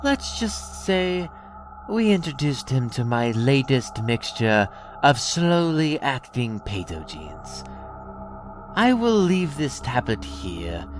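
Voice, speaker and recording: An actor playing a cold, gloating alien pirate who poisons people, slow and mocking, in an audio drama. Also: male voice, mid-range voice.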